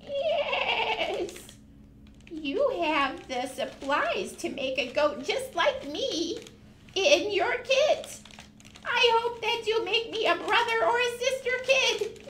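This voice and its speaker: Squeaky little goat puppet, excitable and always hungry, really high voice, bit of background noise. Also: female voice, extremely slow, roomy sound, exaggerated voice. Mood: cheerful. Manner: childlike, excitable. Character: eager, hungry young female goat puppet. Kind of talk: puppet dialogue for children.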